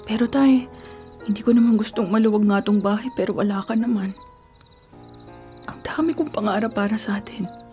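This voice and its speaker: A woman playing a grieving young college student and devoted girlfriend in a Filipino radio drama, speaking softly and emotionally, at times in whispers. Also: medium pitch, moderate pace, pauses often, faint music behind, lightly acted. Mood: grieving, tearful. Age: young adult. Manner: soft, emotional, breaking into whispers.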